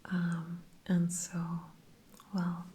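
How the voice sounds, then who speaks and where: A woman whispering softly throughout, recapping TV episodes in a chatty ASMR style. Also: fairly low pitch, monotone, very slow, a little hiss or hum. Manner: soft unvoiced whisper throughout, chatty with fillers. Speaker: TV episode reviewer.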